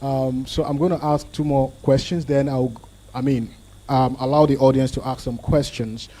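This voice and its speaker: Young guy running a panel, mellow voice, polite and a bit fumbly, handheld mic. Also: medium pitch, steady tone. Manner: polite, slow, fumbling phrasing. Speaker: panel moderator. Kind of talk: moderating a panel. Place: conference hall on stage.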